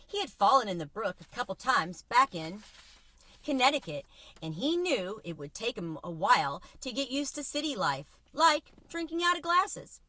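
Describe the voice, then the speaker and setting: A woman storyteller reading a children's novel aloud in an expressive, animated way. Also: medium pitch, dry recording.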